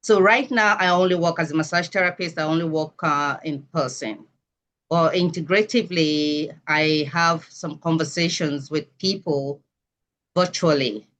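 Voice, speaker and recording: A woman from Nigeria with a Nigerian accent, giving a measured, explanatory talk as a massage therapist over a video call. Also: low-pitched, fairly bright tone. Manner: measured, explanatory, self-correcting.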